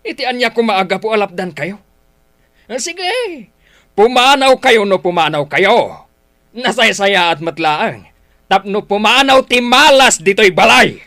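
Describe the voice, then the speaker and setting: A man's voice: high voice, expressive, a little slow, sharp tone, full character voice. An actor with an Ilocano accent playing a cruel, hot-tempered, middle-aged father in a radio drama, scornful and taunting. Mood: angry.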